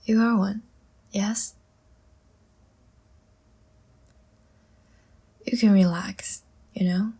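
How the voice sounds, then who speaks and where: Woman playing a languid, breathy, half-whispering vampire seductress. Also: mid-range voice, steady tone. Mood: coy, teasing. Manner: slow, soft, teasing and soothing. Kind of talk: intimate ASMR audio roleplay.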